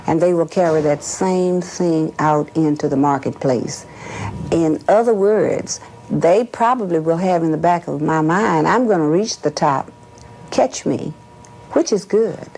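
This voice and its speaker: A middle-aged woman, an educator, giving formal interview answers in emphatic, measured phrases. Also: deep voice, mellow, slight background noise. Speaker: school educator being interviewed.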